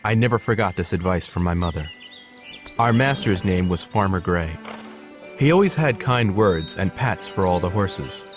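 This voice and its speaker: Male horse narrator, low pitch, earnest, measured, animated storybook, clear background music. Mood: reflective. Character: grown male horse telling his life story, gentle.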